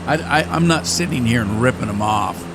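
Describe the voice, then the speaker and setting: An older man talking casually while playing a game, rambling with pauses and restarts. He says his voice has grown deeper and cracks more with age. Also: expressive. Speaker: gaming channel host. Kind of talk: casual gameplay commentary. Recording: engine noise behind the voice. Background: flight simulator engine noise.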